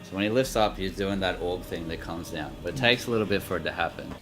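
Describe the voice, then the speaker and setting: A man's voice: deep voice, quick pace, music in the background. A man co-hosting a gaming video, dryly teasing friends and coaching their play. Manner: dry teasing, gives instructions. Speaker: gaming co-host coaching a player. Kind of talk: casual gaming banter.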